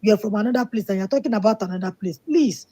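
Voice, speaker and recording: A woman's voice: medium pitch, fast talker, warm tone, breathy edge. A woman on a live call-in discussion, speaking indignantly and emphatically. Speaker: call-in participant.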